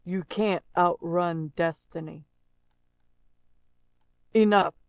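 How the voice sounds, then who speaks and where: A woman voicing a stern, commanding video game character with deliberate, scripted lines. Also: on the low side, fairly even intonation, no echo. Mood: stern, urgent.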